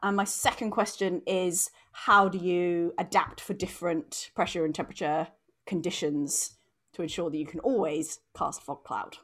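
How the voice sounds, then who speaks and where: A woman co-hosting a casual online panel chat, inquisitive and quick to interject with questions. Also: lowish voice, steady tone, fairly bright tone. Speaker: co-host. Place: online video call.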